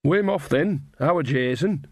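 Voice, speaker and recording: Man putting on a bossy, high-pitched old witch. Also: animated, slow pace, a little mellow, dry recording, clean studio sound. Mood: chatty. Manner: rustic dialect, scolding and chatty. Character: bossy, cheerful old village witch mother, Nanny Ogg.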